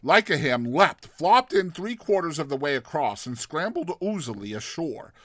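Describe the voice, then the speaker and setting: Male narrator, higher-pitched and very varied in tone, theatrical delivery of classic fiction, dry close recording. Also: moderate pace, no room sound. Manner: theatrical, expressive storytelling. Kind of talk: dramatized literary short story reading.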